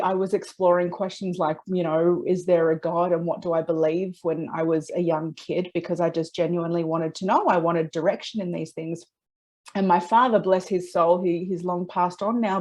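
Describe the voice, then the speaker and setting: A woman being interviewed, telling personal stories in a conversational, reflective and expressive way with small check-in questions. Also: fairly low pitch. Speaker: interview guest, transpersonal psychology practitioner.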